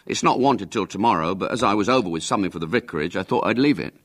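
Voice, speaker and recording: Chatty, rambling tradesman, voiced by the male reader; lively lilt. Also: lowish voice, fast talker. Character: chatty working-class delivery man, plain and helpful.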